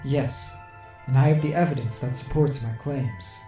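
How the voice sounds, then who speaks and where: An actor playing an earnest, confident agent with a formal, assured delivery in an audio drama. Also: male voice, medium pitch, normal speed, dark tone, soft background music, close to an everyday voice. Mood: resolute. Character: male agent, earnest, resolute, respectfully confident. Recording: echoey, distant from the mic.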